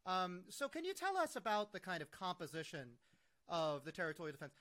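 A man's voice: high-pitched, huge pitch swings, brisk, sharp tone. A man from the United States hosting an interview, emphatic and eager, often restarting his sentences. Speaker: interviewer, host. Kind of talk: conversational interview.

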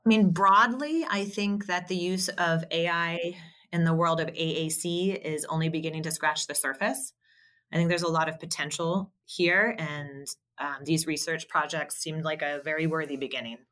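Bright-voiced woman speech therapist explaining. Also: low-pitched, steady tone. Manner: explanatory, measured, with frequent fillers and restarts. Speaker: speech-language pathologist guest. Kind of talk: conversational interview answers.

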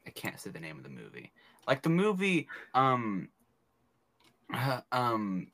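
A man's voice: mid-range voice, huge pitch swings, measured pace, fairly bright tone. A young man co-hosting a podcast with his sister, joking around and giggling often. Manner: giggly, playful. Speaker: podcast co-host. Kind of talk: joking sibling banter.

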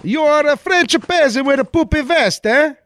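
Excitable, high-pitched Italian guard. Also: male voice, moderate pace, a little hiss or hum. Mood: alarmed. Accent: put-on Italian. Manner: exclamatory, broken-English caricature. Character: excitable, boastful Genoese Italian caravan guard. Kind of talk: 1940s-style comedic radio play acting.

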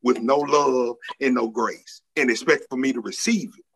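A man in his forties making his case in a casual podcast debate, preachy and animated, setting out points one after another. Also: medium pitch, moderate pace, vocal fry, slightly rough. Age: 40s.

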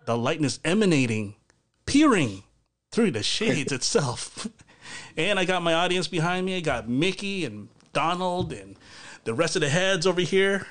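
A man's voice: fairly high pitch, sing-song, moderate pace, fairly bright tone. A man hosting a casual live video podcast from his home over a video call, chatty and rambling with lots of fillers and restarts. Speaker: podcast host. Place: bedroom at home.

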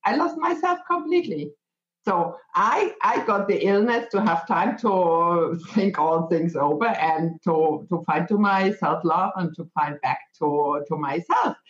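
A coach hosting an interview, talking in a halting, reflective way and searching for words. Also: medium pitch, expressive. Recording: roomy, dull lo-fi sound.

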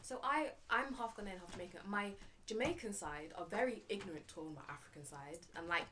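A woman's voice: medium pitch, fairly quick, bright, forceful tone. A young woman in a casual podcast discussion, chatty and full of fillers. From England. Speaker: podcast panelist.

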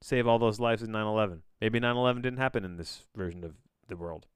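Low, smooth-voiced man rambling through a reading. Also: fairly even intonation, quick pace, no echo, clean studio sound. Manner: rambling, self-interrupting, bemused; casual swearing. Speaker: podcast host. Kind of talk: casual solo podcast reading with rambling asides.

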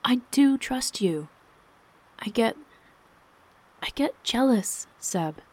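A woman's voice: medium pitch, fairly quick, a little mellow, slightly rough, poor audio. A woman voicing an upset, jealous young wife in a storybook, emotional and defensive. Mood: pleading, hurt. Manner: pleading, emotional.

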